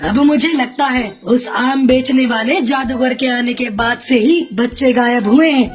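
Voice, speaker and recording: A woman's voice: high-pitched, measured pace. A woman voicing a stern, protective uncle bird with an authoritative manner in a cartoon story. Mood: concerned. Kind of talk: Hindi cartoon story voice acting.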